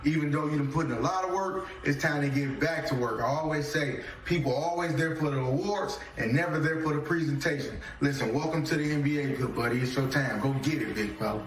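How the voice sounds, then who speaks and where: A man's voice: fairly high pitch, brisk, hardly any pauses. Encouraging man, distant and echoey. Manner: encouraging, pep-talk delivery. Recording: distant, echoey room with faint noise.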